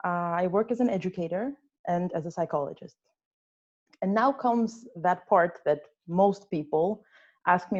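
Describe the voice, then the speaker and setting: A woman's voice: on the low side, a little mellow. A woman in her twenties giving a prepared personal speech at a club meeting, earnest with touches of dry humor. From born in the United States, grew up in Ukraine, Austria and Russia. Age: 20s. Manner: earnest, lightly humorous storytelling. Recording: dull lo-fi sound.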